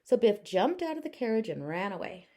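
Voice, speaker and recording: A woman teacher narrating a children's storybook aloud with expressive, deliberate phrasing. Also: mid-range voice.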